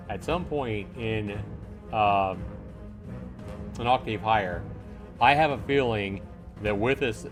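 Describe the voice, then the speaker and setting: A man with a fairly low voice gives live commentary on a game he is streaming. He is emphatic and repeats his phrases, with music playing behind him.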